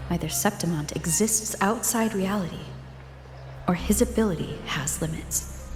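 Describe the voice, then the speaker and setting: An actress voicing a composed, analytical and guarded heroine in a video game. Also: female voice, mid-range voice, lightly acted. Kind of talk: video game voice acting, inner monologue and dialogue. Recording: echoey long reverb, music behind. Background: game music.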